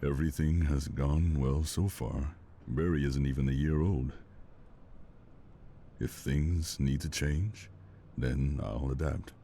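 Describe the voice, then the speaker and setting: Male actor as dutiful, weary lieutenant; extremely low voice, guarded, naturalistic drama, noisy background. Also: fairly even intonation, slow pace, warm tone, exaggerated voice. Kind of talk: naturalistic audio drama acting.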